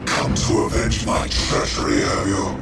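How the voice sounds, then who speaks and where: A bitter, menacing undead lord from a video game. He speaks very slowly and theatrically, proud and vengeful. His voice rings through a huge echo with loud music over it. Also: lowish voice, little pitch movement, vocal fry. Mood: bitter accusation. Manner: grandiose, theatrical, drawn-out delivery. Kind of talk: video game villain acting. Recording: heavily echoing, distant, loud music over it.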